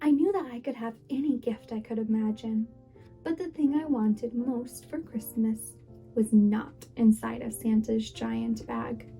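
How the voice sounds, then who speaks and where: A woman reading a children's story aloud as a preschool teacher would, with dramatic, deliberate expression. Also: a little high, steady tone, creaky, mellow, low-quality recording. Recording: music behind the voice. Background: music.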